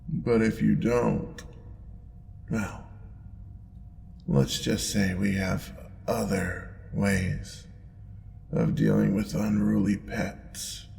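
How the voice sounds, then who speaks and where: Man playing a slow, gravelly, teasing demon lord. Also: little pitch movement, a little mellow, full character voice. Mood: taunting. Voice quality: deep, gravelly. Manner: playful, purring, drawn-out teasing. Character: teasing, smug, indulgent male demon lord. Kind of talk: audio roleplay acting. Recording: long reverb, clear background noise.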